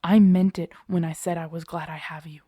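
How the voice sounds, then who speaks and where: A woman playing a protective, guarded young survivor of a zombie apocalypse in an intimate audio roleplay, with hushed, urgent, halting delivery. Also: lowish voice, fairly even intonation, no room sound, studio quality, close to an everyday voice. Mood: anxious, pleading.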